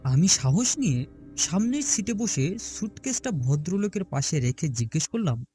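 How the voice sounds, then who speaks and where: High-pitched, animated male horror storyteller. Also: normal speed. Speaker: narrator. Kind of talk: dramatic first-person horror story narration. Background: prominent music.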